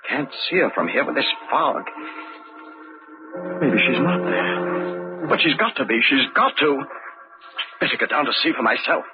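An actor playing a guilty, paranoid husband in an old-time radio drama, outwardly polite but unraveling. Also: male voice, mid-range voice, sing-song, measured pace, gravelly, long pauses, over loud music. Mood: frantic, unraveling. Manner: agitated muttering to himself, swinging into cries. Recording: dull, noisy old radio recording.

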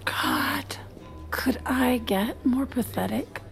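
A woman playing a flustered, hurting wife in an audio drama, speaking haltingly and apologetically. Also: high-pitched, wildly swooping pitch, vocal fry, soft background music, heavily acted. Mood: embarrassed. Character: Diana, flustered, hurting wife clinging to her estranged husband. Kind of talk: naturalistic audio drama acting.

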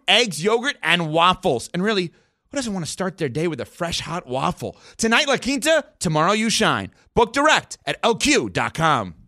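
A man's voice: high voice, pushed and bright, no room sound, studio quality. A man reading a scripted, upbeat radio commercial. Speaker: advertisement announcer.

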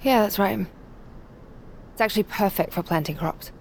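A woman playing a brisk, friendly crop scientist in audio fiction, confident and a little teasing. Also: mid-range voice, creaky, darkish tone, a little hiss or hum, close to an everyday voice.

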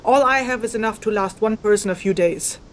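A woman's voice: medium pitch, brisk, close to an everyday voice. A woman playing a stern, sardonic charm crafter in a fantasy audio drama, giving orders and threats with dry sarcasm. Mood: brisk, commanding.

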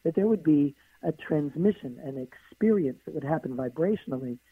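Hoarse woman on a phone line. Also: low-pitched, animated, normal speed, no room sound. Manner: flowing, builds long lists of phrases. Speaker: guest author. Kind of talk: radio interview answers. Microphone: phone call.

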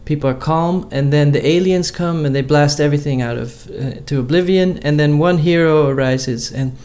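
A man's voice: fairly high pitch, moderate pace, darkish tone. A man co-hosting a casual chat, talking in a hesitant way with many fillers and restarts.

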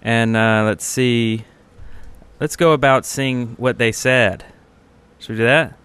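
A man's voice: medium pitch, fairly even intonation, takes it slowly, a bit bright, smooth. An American man co-hosting a podcast in easygoing, casual conversation. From Alabama, United States. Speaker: podcast co-host.

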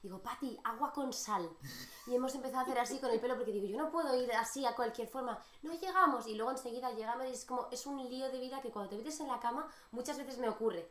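A woman with an accent from Spain, a singer-songwriter guest, chatting in an animated, talkative way. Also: on the high side, fast. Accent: Spanish from Spain. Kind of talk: casual interview chat. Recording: echoey room.

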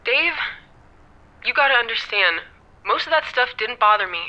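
An actress playing a gentle, guilty young ex-girlfriend on a telephone, soft-mannered, apologetic and halting, in a naturalistic audio drama. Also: fairly high pitch, animated. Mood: earnest, concerned. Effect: telephone filter. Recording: thin, band-limited telephone line.

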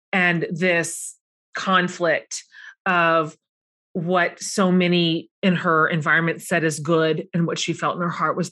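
A woman's voice: lowish voice, sharp tone. A middle-aged woman hosting a book club interview, asking long, enthusiastic questions that linger over her words. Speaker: podcast host.

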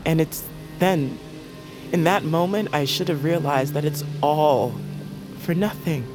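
An actor playing a world-weary, fatalistic wanderer in an audio drama, speaking tersely in archaic, poetic phrases. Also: male voice, a little high, unhurried, close to an everyday voice. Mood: bleak, brooding. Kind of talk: audio fiction drama acting. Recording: dramatic music underneath.

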